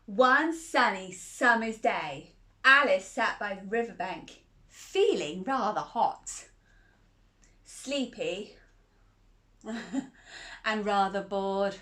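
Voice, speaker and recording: A woman's voice: medium pitch, animated, drawn-out words, long pauses, sharp tone. A woman reading a picture book aloud to children in a cozy, playful way, with chatty asides and laughs between the lines.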